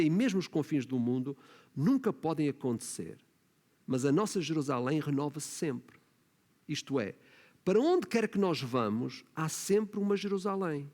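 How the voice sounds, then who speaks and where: Man, European Portuguese accent, pastor, sermon, fairly high voice, emphatic, tag questions, long church reverb. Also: a little mellow. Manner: rhetorical tag questions, emphatic rising delivery. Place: church hall.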